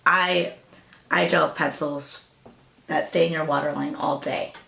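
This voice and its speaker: A young woman with a raspy voice, chatting casually through a makeup tutorial as a new beauty vlogger. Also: deep voice, steady tone, measured pace, pushed and bright, reverberant. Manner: chatty, hesitant, with fillers and apologies.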